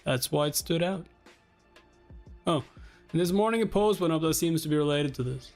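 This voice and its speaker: A man's voice: animated, moderate pace, a little mellow, soft background music. A man reading webtoon dialogue and captions aloud, acting out the lines dramatically as he goes. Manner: acts out each line, shifting pitch between characters. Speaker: reaction channel host reading a webtoon aloud.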